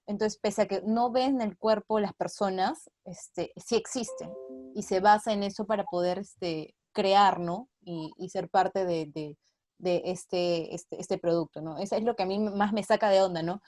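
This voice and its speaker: A woman's voice: mid-range voice, steady tone, a little slow, a little mellow, no room sound. A woman, an actress giving an interview in casual explanatory talk, often checking in with short tag questions. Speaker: radio theatre actress being interviewed.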